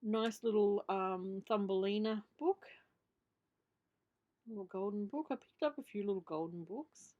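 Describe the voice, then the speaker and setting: A middle-aged Australian woman talks through her craft finds in an unscripted voiceover. Her voice sits at an average pitch for a woman, with a slight lisp. She rambles in a chatty way, trailing off and correcting herself as she goes. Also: measured pace, phone-quality sound. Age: 50s. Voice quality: slight lisp from a missing tooth. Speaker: craft vlogger. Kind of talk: casual, rambling show-and-tell voiceover.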